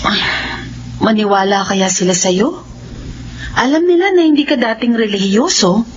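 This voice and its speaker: A woman's voice: fairly high pitch, animated, normal speed, natural voice. An actress playing a questioning, doubtful woman, talking conversationally in a Filipino radio drama. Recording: dull radio drama audio, faint noise.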